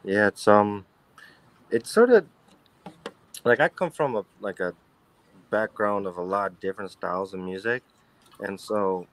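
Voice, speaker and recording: A man with a very low, baritone voice chats casually as a guest in an interview, halting and restarting as he gathers his thoughts. He talks from indoors at home over a webcam on a video call. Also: animated. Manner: rambling, halting, many restarts and fillers. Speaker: guest musician and producer.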